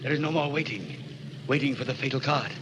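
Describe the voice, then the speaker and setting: A solemn, fatherly old gentleman , acted by a man resigned to his fate. He speaks in theatrical vintage radio style, at a medium pitch, over clear noise on an old recording. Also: lively intonation, brisk, natural voice. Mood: weary resignation. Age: older. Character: weary, resigned elderly gentleman welcoming death. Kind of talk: 1940s radio mystery acting.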